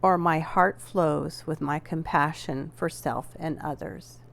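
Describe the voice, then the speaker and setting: A woman leading a guided relaxation as a yoga nidra instructor, giving gentle, measured instructions with deliberate pauses. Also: low-pitched, steady tone, vocal fry.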